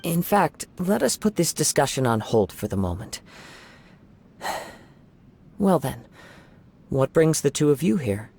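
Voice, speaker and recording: A man voicing a calm, reflective young wandering samurai who speaks in a measured, formal and courteous way, in a game cutscene. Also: a little high, vocal fry, slightly rough, heavily acted. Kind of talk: video game cutscene dialogue.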